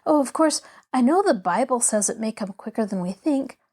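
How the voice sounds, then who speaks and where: A woman's voice: a little high, animated, normal speed, darkish tone. A woman voicing a cheerful, earnest little girl in a storybook, chattering on in an eager, artless, rambling way. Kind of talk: children's storybook character voice.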